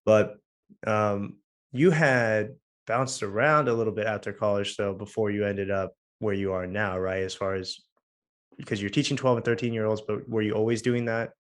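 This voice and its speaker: A young man with a hoarse voice hosting a casual podcast interview, talking in halting bursts with many fillers and restarts. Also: on the low side, steady tone. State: hoarse from losing his voice. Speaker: podcast host.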